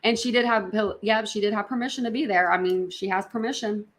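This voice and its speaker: Female livestream host, medium pitch, casual reaction chat, rambling and repetitive, addressing viewers directly. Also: steady tone, quick pace.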